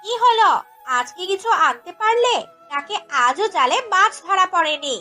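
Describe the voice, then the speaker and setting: A woman as a very high-pitched nagging village wife. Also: expressive, moderate pace, bright, forceful tone, faint music behind, heavily acted. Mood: scolding. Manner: shrill scolding and insisting. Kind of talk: cartoon fairy tale character dialogue.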